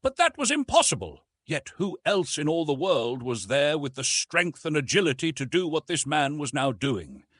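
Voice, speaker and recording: A man's voice: on the high side, big pitch swings, moderate pace, few pauses, a bit bright, dry recording. A man reading an adventure novel aloud as dramatic scripted narration. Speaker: audiobook narrator.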